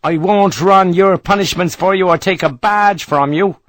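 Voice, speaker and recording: Gruff Irish soldier, big and blunt, pitched up and pushed hard, as a man reading an audiobook puts him on. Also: moderate pace, a bit bright, dry recording, studio quality. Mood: stubborn. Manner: gruff, salty soldier's talk.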